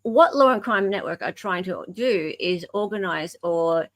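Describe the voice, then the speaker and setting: An Australian woman with a slightly low voice talks as a podcast co-host. She explains casually, stopping and correcting herself as she works through her point. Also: expressive, a bit bright. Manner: halting, self-correcting, explanatory. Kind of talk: casual discussion of legal case emails.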